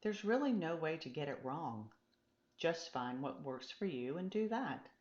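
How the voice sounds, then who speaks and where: A woman voicing a calm, patient, encouraging meditation teacher in a storybook, gently reassuring as the teaching goes on. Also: deep voice, measured pace, reverberant, highs cut off. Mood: soothing, guiding. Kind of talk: storybook character dialogue.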